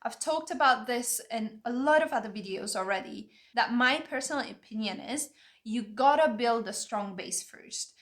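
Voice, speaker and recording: A woman's voice: a little high, expressive, moderate pace. A young woman whose first languages are Vietnamese and Hungarian, talking to camera in an earnest, opinionated way as she explains step by step. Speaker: language-learning video creator. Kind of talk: talking-head advice video.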